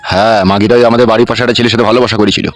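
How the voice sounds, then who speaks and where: A man voicing a crude, henpecked village uncle in a comedy cartoon, gruff and blunt, with a rural West Bengal accent. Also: on the low side, level tone, rapid-fire, soft background music, lightly acted. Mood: blunt, scornful.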